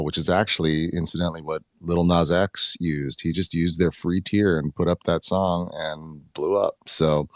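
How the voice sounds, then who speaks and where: Male panel participant, extremely deep voice, dry recording, laid-back and slangy while explaining. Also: dry recording. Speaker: discussion participant giving advice. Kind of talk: casual unscripted group discussion. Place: live online audio chat room.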